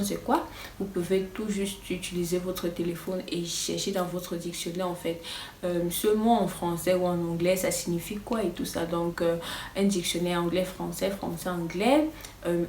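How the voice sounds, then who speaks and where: Une femme anglophone, voix lointaine dans une pièce résonnante. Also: female voice, on the low side, darkish tone, reverberant, recorded from a distance, slight background noise. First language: English. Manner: hesitant, many filler pauses, nervous. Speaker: English teacher and YouTuber. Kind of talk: casual vlog-style lesson.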